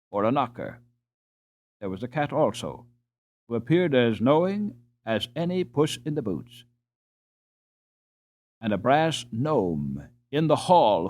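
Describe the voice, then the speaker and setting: A man narrating a storybook as an audiobook reader, in a measured, expressive way. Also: medium pitch.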